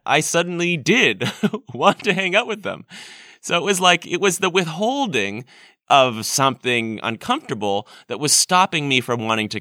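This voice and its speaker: Male podcast host, crisp bright voice, casual and reflective, close dry sound. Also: medium pitch, no echo. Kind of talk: casual, reflective interview chat.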